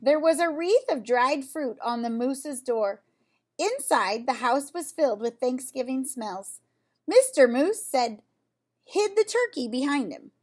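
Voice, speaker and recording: A woman reading a children's storybook aloud in an expressive, deliberate way. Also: high voice. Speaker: storybook reader. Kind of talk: children's read-aloud narration with brief asides.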